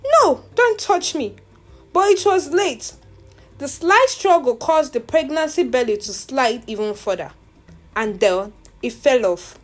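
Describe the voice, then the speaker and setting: Female storyteller, high and very animated, theatrical and suspenseful delivery over music. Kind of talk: dramatic first-person scripted narration.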